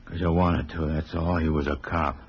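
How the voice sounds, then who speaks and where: A man's voice: extremely low pitch, level tone, normal speed. A man playing a sullen, defiant cop killer under arrest, surly and curt, in a 1950s radio crime drama. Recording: dull, faintly noisy 1950s radio recording.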